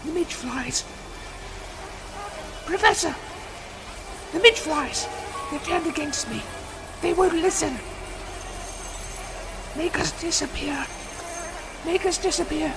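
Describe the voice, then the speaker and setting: An actress playing an eerie, desperate villain in an audio drama, with dramatic, drawn-out cries. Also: female voice, sits high, vocal fry, heavily acted. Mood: panicked, despairing. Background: music.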